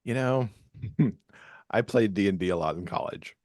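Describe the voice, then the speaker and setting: A man's voice: lowish voice, expressive, measured pace, a little mellow, dry recording, studio quality. A man speaking as a podcast guest and author in casual conversation. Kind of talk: casual chat.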